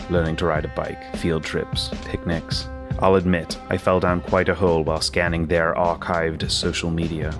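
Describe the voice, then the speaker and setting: A man playing a formal, brooding investigator, narrating his findings in a measured, wordy, deadpan way. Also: deep voice, pitched below the performer's own voice. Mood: measured, wry. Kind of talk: scripted noir-like monologue narration.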